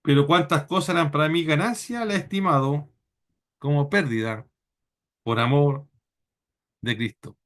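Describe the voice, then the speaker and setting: Man preaching, high voice. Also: fairly bright tone. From Chile. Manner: halting and earnest, repeats words while teaching. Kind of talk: sermon. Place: church.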